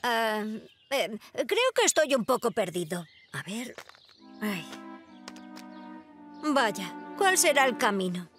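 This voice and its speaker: A woman's voice: on the high side, big pitch swings, slow pace, long pauses, exaggerated voice. A woman voicing a tidy, polite, earnest young boy in a cartoon dub. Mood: puzzled. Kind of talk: animated cartoon dubbing. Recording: loud music behind the voice.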